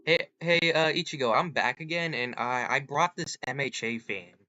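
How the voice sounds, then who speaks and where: A man with a bright, forceful voice hosts a casual vlog. He talks in a halting, unscripted way, addressing a chatbot as he goes. The recording is dry and somewhat dull. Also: medium pitch, no echo. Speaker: host of an online character-chatbot video.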